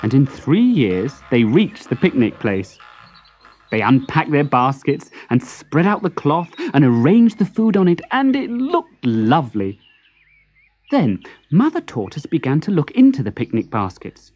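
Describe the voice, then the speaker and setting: A woman reading a children's story expressively and deliberately, with dramatic pauses. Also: sits low, big pitch swings, a little mellow, soft background music.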